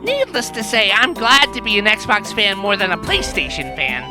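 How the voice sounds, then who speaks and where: Young male cartoon monkey female performer, excitable wisecracker, extremely expressive pitch, clear background music. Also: moderate pace, lightly acted. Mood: cheeky. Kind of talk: scripted comic cartoon dialogue.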